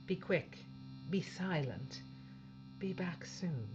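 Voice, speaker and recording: Slow-paced woman space captain, played by an actress, humming recording. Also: lowish voice, animated, highs cut off. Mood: pensive, wary. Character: tense woman spaceship captain confronting a mad scientist. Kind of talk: science fiction audio drama acting. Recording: band-limited, humming noisy line.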